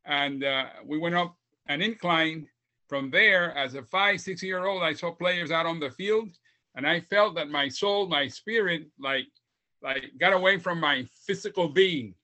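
A man in his seventies from Puerto Rico tells his life story as a podcast guest, heartfelt and reflective. His voice is bright and forceful, and sits somewhat high for a man. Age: 70s. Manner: heartfelt, reflective, speaks slowly. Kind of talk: reflective storytelling interview answers.

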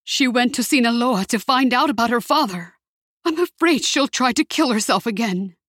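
Woman doing a shaky worried old auntie from mexico, higher voice, pleading and a bit judgy. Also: expressive, normal speed, a bit bright, no echo, studio quality. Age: older. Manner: trembling, pleading, disapproving. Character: older woman from Mexico, anxious grandmother aunt.

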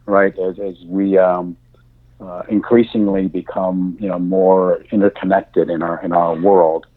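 A deep-voiced man whose first language is German, talking slowly through his answers with a lot of ums and restarts. His voice is husky and comes through a thin, phone-like line. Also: flat delivery. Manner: halting, many fillers and restarts. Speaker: insurance industry guest. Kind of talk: podcast interview answers.

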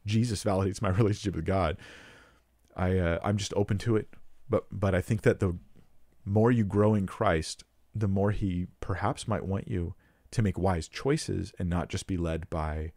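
Man, low voice, earnest casual teaching, emphatic, dry close-mic sound. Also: fairly even intonation, moderate pace, darkish tone, dry recording. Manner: earnest, frequent restarts, emphatic rises. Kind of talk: casual unscripted teaching talk.

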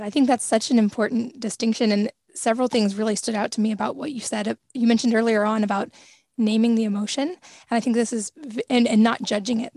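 A woman's voice: a little high, darkish tone, no room sound, studio quality. A woman podcast host speaking reflectively, thinking aloud as she responds to her guest. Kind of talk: conversational interview.

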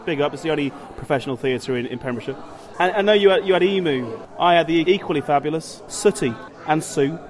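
A man's voice: on the high side, fast talker. A man from Wales, co-hosting a podcast with casual, jokey theatre banter. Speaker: podcast co-host, actor.

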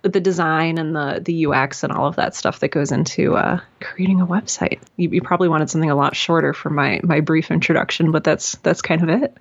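A woman's voice: low voice, steady tone, a little fast, vocal fry, few pauses, muffled recording. An American woman guest on a podcast, chatting in a bubbly way with frequent laughs and restarts. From United States. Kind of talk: podcast interview.

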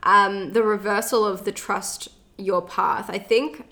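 A woman's voice: medium pitch, fairly even intonation, measured pace, pushed and bright. A woman co-hosting a casual spiritual podcast, speaking with effusive enthusiasm and a slightly nasal voice. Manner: enthusiastic, effusive, guiding the listener. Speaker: podcast co-host.

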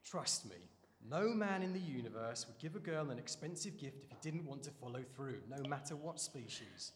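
A man's voice: medium pitch, animated, normal speed, big-room sound, slight background noise, close to an everyday voice. An actor playing an easygoing, laughing young man in an audio drama. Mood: reassuring. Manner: chummy, easygoing, laughs a lot. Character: cheerful laddish man, dazed groom-to-be.

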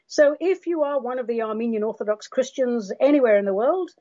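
A woman's voice: on the high side, expressive, fairly quick, warm tone, muffled recording. Theatrical London woman podcast host. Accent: London English. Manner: theatrical, sardonic, addresses listeners as darlings. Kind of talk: monologue podcast.

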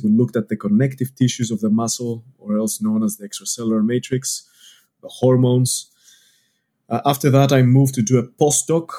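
Greek-accented man, dark mellow voice. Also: mid-range voice. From Greece. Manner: careful, explaining step by step. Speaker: podcast guest, exercise scientist. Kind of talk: explanatory interview talk.

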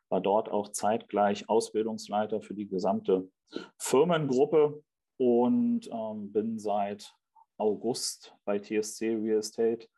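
Husky, mellow young man from Germany. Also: lowish voice. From Berlin, Germany. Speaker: podcast guest, real estate investment manager. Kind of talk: conversational interview answers.

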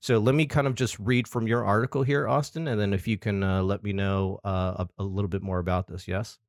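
Low-voiced male podcast host, dry sound. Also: steady tone, fairly quick, no room sound. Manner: conversational, reads quotes aloud. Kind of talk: interview.

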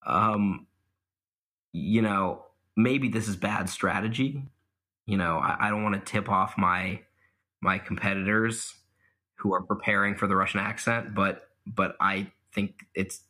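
Young male guest, low-ish bright tone, casual and self-deprecating. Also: steady tone, normal speed. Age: young adult. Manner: self-deprecating, laughs at himself, many fillers. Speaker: guest, mock trial competitor. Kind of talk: casual interview answers.

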